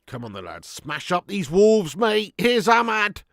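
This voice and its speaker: A man hosting a live fan watch-along of a football match at home, chatting to viewers with sweary banter and bursting into loud cheering at chances. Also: high voice, very animated, a little slow, no echo, clean studio sound. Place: at home in front of a camera.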